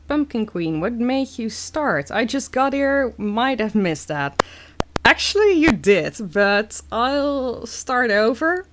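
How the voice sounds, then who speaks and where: Slow-talking Dutch woman on a live stream. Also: a little high, very animated, background noise. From Netherlands. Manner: slow, halting, many fillers. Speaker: streamer reading chat. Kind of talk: casual live-stream chat.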